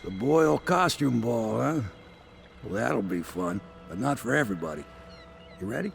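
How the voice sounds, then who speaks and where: A man playing a wry, gruff, easygoing working-class boatman in a video game. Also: mid-range voice, lively intonation, moderate pace. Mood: wry, cautioning. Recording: loud music behind.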